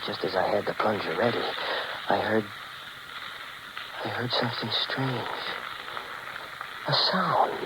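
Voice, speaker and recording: An actor playing a scheming, paranoid killer in a melodramatic, intense 1940s radio drama style. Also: male voice, lowish voice, poor audio. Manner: intense, melodramatic, dropping to hushed confiding. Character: scheming, paranoid male killer convinced of his immortality.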